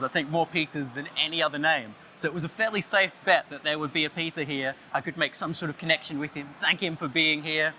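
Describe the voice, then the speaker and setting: A man with an Australian accent giving a playful conference talk, loudly joking and chatting with the audience. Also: fairly high pitch, a little hiss or hum. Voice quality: loud voice. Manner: jokey, playful, chatty with the crowd. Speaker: conference speaker. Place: conference stage, audience on headphones.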